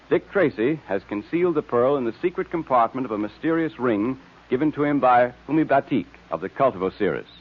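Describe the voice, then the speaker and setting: A man announcing in scripted 1940s radio style, with a polished sales and narration delivery. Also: medium pitch. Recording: tinny, narrow-band old radio recording.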